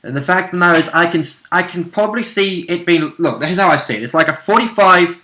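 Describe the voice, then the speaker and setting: A man's voice: high voice, fast talker, pushed and bright. A man co-commenting in a casual discussion of a tabletop game, weighing the odds in a confident, analytical way. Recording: roomy echo.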